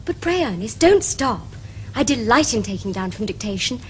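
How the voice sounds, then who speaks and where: A woman's voice: on the high side, big pitch swings, moderate pace, slight background noise. An actress playing a prim, romantic young Victorian lady in a period comedy, with precise, formal Received Pronunciation. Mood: prim, eager. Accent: English Received Pronunciation. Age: young adult. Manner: precise, formal, earnest diction. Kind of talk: period stage comedy acting for television.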